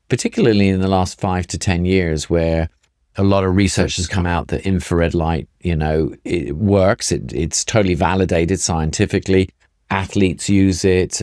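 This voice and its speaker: Very deep-voiced man, emphatic explainer. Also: darkish tone, no room sound, clean studio sound. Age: middle-aged or older. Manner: emphatic, repeats points for stress. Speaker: functional medicine doctor, guest. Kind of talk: conversational explanation.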